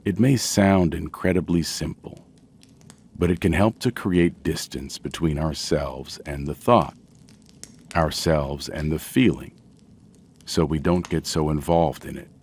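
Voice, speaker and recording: A man gently narrating a guided sleep meditation in a soothing, measured way with long pauses. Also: a bass voice, breathy edge.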